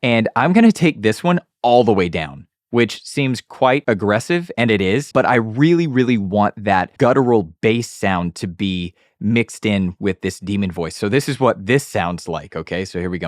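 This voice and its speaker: A man with a fairly low voice walks through audio production in a casual, instructional way. The recording is dry and close, made on a studio dynamic microphone. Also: expressive, moderate pace, few pauses, no room sound. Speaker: audio production tutorial host.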